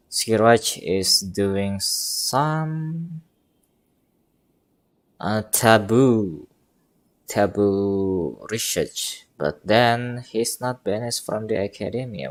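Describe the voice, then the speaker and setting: A woman giving casual live gameplay commentary, halting and repeating words as she thinks aloud. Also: extremely low pitch, lively intonation. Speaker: game streamer.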